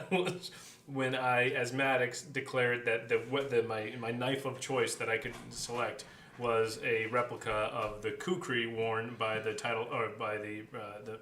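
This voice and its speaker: A man's voice: mid-range voice, bright, forceful tone, roomy sound, a little hiss or hum. A man co-hosting a podcast, chatting casually and telling anecdotes. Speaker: co-host of a behind-the-scenes podcast.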